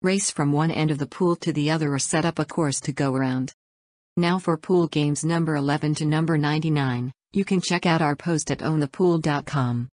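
A woman's voice: low-pitched, a little fast, darkish tone, no echo. A woman reading an upbeat, scripted promotional voice-over as a website host. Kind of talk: scripted product narration.

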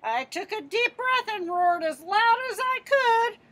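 A woman in her fifties reading a picture book aloud as a teacher, expressive and putting questions to her listeners. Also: very high voice, measured pace, very noisy. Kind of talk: animated children's picture-book read-aloud.

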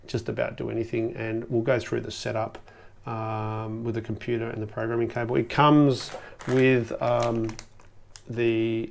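A man's voice: medium pitch, creaky. An Australian man in his forties giving a casual hands-on gadget review, with hesitant, off-the-cuff phrasing. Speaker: video host and product reviewer. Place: small home office, indoors.

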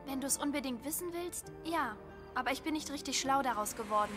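A woman's voice: sits high, expressive, brisk, over music. An actress playing a thoughtful, puzzled teenage schoolgirl in an anime audio drama.

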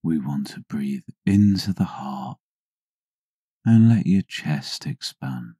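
A man narrating a scripted guided meditation in a hushed, husky near-whisper, soothing and deliberate with long pauses. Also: a bass voice, lively intonation, dry recording, clean studio sound.

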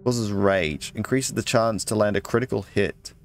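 A man's voice: fairly low pitch, moderate pace, soft background music. A man giving casual let's play commentary, muttering his thoughts aloud and reading out item text.

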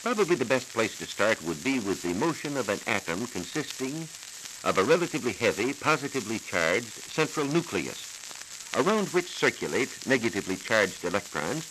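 An American man working as a science reporter, giving a formal, measured, scripted explanation in 1940s radio style. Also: medium pitch, big pitch swings. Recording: hissy, crackly 1940s transcription disc.

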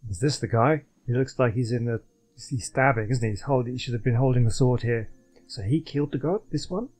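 A man's voice: medium pitch, fairly quick, darkish tone, soft background music. A man giving casual gameplay commentary, thinking aloud as he plays. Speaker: gaming YouTuber.

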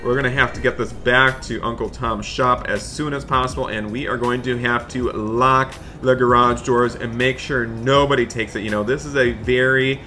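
A man hosting a gaming roleplay as a dad, narrating excitedly in a dramatic, exasperated way and repeating himself. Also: mid-range voice, normal speed, few pauses. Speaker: gaming video host playing a dad in roleplay. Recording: background music behind voice. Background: music.

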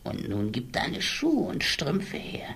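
A woman's voice: deep voice, wildly swooping pitch, slow, vocal fry, breathy edge, whispered, exaggerated voice. A woman voicing a courteous, meowing servant cat in a fairy-tale radio play. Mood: obliging, soothing.

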